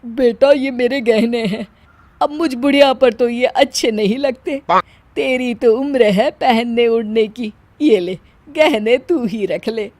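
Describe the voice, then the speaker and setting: A woman's voice: fairly high pitch, takes it slowly, darkish tone, no echo. A woman voicing an older, bossy mother-in-law in a moral-story cartoon, with an emphatic manner. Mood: sweet, doting. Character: bossy, scolding older mother-in-law, sometimes sweetly doting.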